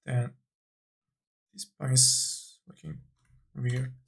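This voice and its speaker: A man giving an unscripted software tutorial, thinking aloud in short, halting phrases as he instructs. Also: medium pitch, expressive, vocal fry, husky.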